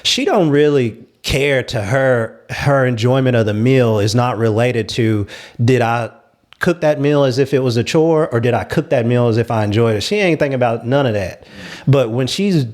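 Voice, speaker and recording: A man talks in a casual panel discussion, with a medium-pitched voice. He makes his points emphatically and repeats phrases to stress them. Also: normal speed, darkish tone. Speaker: talk show panelist leading the discussion.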